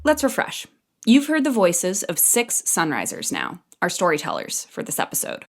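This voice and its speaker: Low-pitched woman narrating a documentary. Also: huge pitch swings, a little hiss or hum. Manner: clear, measured explainer tone. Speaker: podcast co-narrator.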